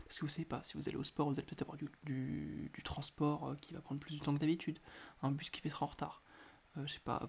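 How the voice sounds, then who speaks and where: A man hosting a casual solo podcast, talking quietly at home with a stuffy nose from a cold, hesitating often with filler sounds. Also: fairly high pitch, creaky, slight background noise. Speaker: podcast host. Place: indoors at home at night.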